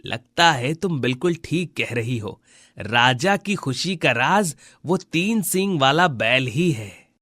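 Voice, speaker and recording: A man's voice: medium pitch, expressive, slow pace, bright, forceful tone, dry recording, studio quality, exaggerated voice. A man voicing a scheming, jealous village husband in a cartoon moral story, with exaggerated delivery. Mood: envious, puzzled. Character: scheming, jealous village husband, gloating over a neighbour's misery. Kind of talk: Hindi animated moral story dialogue.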